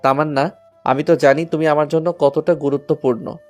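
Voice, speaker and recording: A man's voice: a little high, level tone, fairly quick, lightly acted. A lovestruck young man played by a male actor in an audio romance. He speaks tenderly and earnestly, always reassuring and protective, with faint music underneath. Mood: devoted, reassuring. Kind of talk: romantic Bengali audio story acting.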